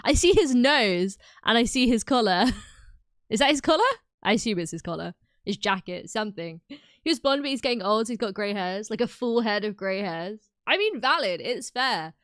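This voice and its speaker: A British woman livestreaming, chatting casually and reacting loudly to her chat. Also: mid-range voice, normal speed, no room sound, studio quality. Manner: animated and exclamatory, reacting to chat. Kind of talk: casual live stream chat.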